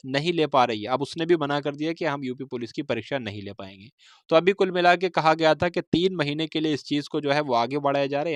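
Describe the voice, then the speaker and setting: A man's voice: fairly high pitch, no room sound, studio quality. A man hosting an exam preparation update, explaining informally to viewers, repeating and rephrasing his points and addressing them as friends. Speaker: host of exam preparation channel.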